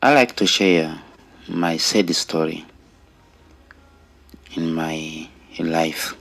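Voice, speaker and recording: A middle-aged man with a Rwandan accent giving an earnest personal testimony in deliberate, short phrases with pauses. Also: rumbling low, fairly even intonation, gravelly, fairly bright tone. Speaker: storyteller sharing own life story.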